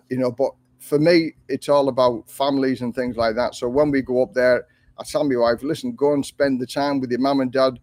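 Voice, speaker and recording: A man's voice: medium pitch, moderate pace, no echo. Chatty older Englishman, dry close sound. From England. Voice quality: self-described monotone voice. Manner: rambling, chatty, with frequent ums and restarts. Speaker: livestream host. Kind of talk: casual live-stream chat answering viewer questions.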